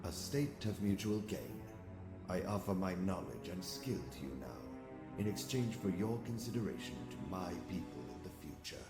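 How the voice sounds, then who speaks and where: Male actor as alien military strategist for sci-fi audio comic. Deep, formal, composed, calculating; music clearly underneath. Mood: composed, confident. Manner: formal, measured, precise phrasing. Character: calm, exiled alien warrior strategist, courteous and calculating. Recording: music behind the voice.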